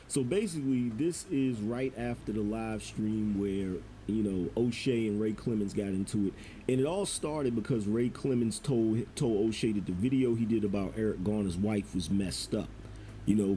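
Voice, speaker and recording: Male online commentator, mellow and dark-toned, casual slangy storytelling, faint noise behind. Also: mid-range voice, low-quality recording. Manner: street-slang, profane storytelling.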